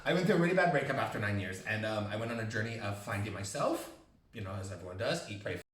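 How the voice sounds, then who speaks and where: A quick-talking man with a lively, swooping delivery, campy and self-mocking as he spins a rambling story. His voice carries through a roomy space, heard from some distance off the mic. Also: on the low side. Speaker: podcast co-host. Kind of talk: casual chat.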